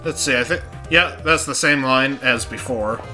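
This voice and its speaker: A man's voice: mid-range voice, moderate pace, pushed and bright, faint music behind. A congested man giving casual, joking commentary over a game playthrough. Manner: joking, offhand asides. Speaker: let's play host.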